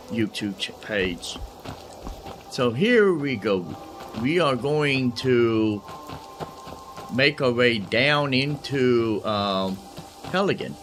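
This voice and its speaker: Male game streamer, lower register, off-the-cuff rambling commentary over loud music. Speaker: gaming streamer. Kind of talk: casual gameplay commentary. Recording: music behind the voice.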